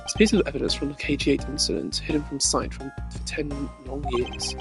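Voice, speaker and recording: A formal young male prosecutor from a video game, acted out by a man, reasons in a measured, analytical way. Each phrase is deliberate, and music plays clearly behind him. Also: mid-range voice, fairly even intonation, natural voice. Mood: grave, deliberate.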